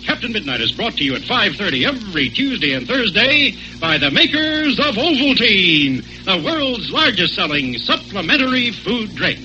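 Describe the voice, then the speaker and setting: High, animated vintage radio announcer man. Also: normal speed, faint music behind. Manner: polished, emphatic projection. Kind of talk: 1940s radio announcing and sponsor reads. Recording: muffled 1940s radio recording.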